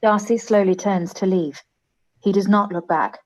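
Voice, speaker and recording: A woman's voice: mid-range voice, moderate pace, darkish tone, rough recording. A woman reading scripted stage directions as the narrator of a radio play.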